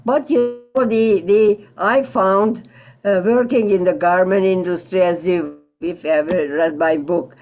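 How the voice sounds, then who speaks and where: An elderly woman recalling her life in an interview, halting and reflective as she searches for words over an online call. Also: on the low side, fairly even intonation, darkish tone, highs cut off. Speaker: Holocaust survivor interviewee. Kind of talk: personal recollection in an interview. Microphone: video call.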